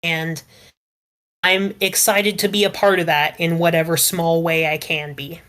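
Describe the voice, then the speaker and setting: Low-pitched woman, earnest and encouraging. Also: level tone, measured pace, fairly bright tone. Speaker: podcast panelist. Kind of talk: casual group chat.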